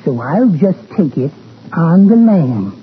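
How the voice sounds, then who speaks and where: An actor playing a meek, henpecked little husband in a 1940s radio comedy, speaking mildly and with droll precision. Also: male voice, fairly high pitch, big pitch swings. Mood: prim, reciting. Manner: mild, precise, drolly deliberate. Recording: muffled, noisy old radio broadcast.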